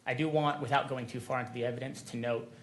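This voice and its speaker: A man speaking as an attorney in court, making a measured, deliberate formal argument. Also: mid-range voice, steady tone, slight background noise. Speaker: attorney for a media coalition.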